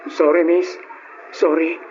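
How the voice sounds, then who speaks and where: A man playing a humble, polite young janitor in an old radio drama, with a husky voice. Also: fairly high pitch, level tone, slow, a little mellow, dull audio, soft background music. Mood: awkward, surprised.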